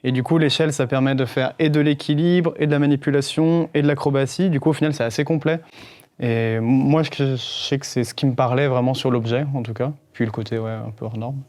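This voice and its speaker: A man's voice: mid-range voice, steady tone, a little fast, darkish tone. Young male acrobat, informal interview, conversational and colloquial, music underneath. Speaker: circus acrobat, juggler. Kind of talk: informal interview about own work.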